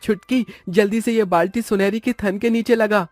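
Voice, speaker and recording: A man playing a poor, devout young village brother in an animated moral story, earnest and reverent. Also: sits high, normal speed, dry recording, soft background music, lightly acted. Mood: urgent.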